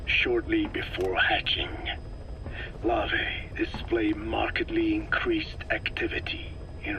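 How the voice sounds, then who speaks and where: An actor playing a grave, learned elderly male scientist with a breathy, whispery rasp, explaining slowly and solemnly in a video game. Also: fairly low pitch, sharp tone. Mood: grave, instructive. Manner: slow, measured, solemn explaining. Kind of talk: video game voice acting, recorded briefing. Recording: noisy cassette tape briefing.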